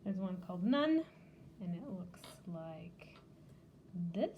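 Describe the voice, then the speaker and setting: A woman's voice: lowish voice, sing-song, slow pace, dull audio, slight background noise. A woman hosting a children's library storytime, casually showing a craft and game step by step in simple words.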